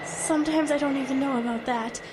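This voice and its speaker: An exhausted, anxious woman , played by an actress, speaks extremely high over faint noise. Her delivery is plaintive and halting, full of self-doubt, as in a radio play. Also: steady tone, lightly acted. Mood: weary, doubtful. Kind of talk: audio drama acting.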